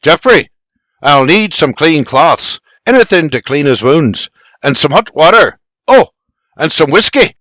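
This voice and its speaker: A man's voice: a little high, sing-song, a little slow, fairly bright tone, no room sound, full character voice. A man voicing an irritable, hard-drinking frontier doctor, barking and grumbling in a dramatized story. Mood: urgent, commanding.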